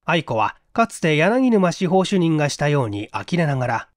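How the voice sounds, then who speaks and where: A man's voice: fairly high pitch, sing-song, moderate pace, no room sound, clean studio sound. A man reading scripted narration for a mystery audiobook.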